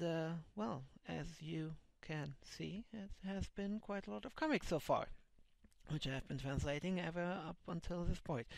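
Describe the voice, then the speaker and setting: A man's voice: sits high, gravelly, no room sound. A man in his thirties with a German accent, a native German speaker, chatting casually on his video blog, rambling with many fillers and restarts, recorded on a headset mic in a small room. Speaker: vlogger and comic translator. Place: small dim room indoors.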